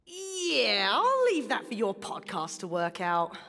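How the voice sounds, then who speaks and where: A woman's voice: on the high side, wildly swooping pitch, slow, vocal fry, sharp tone, heavily acted. Blunt brit soldier lady, exasperated, swoops around a lot, reverby game audio. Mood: brisk, dry. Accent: British. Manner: blunt, slangy. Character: feisty, exasperated female soldier leading an investigation. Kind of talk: video game voice acting.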